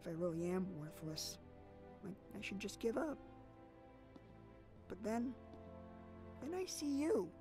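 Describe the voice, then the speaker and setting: A woman voicing a timid, earnest teenage boy who speaks hesitantly in an animated parody dub. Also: mid-range voice, a little slow, pauses often. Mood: earnest, hopeful. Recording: music behind the voice.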